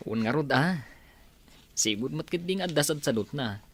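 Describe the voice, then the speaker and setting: A woman playing a gossipy, excitable villager in an Ilocano radio drama. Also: sits low, normal speed.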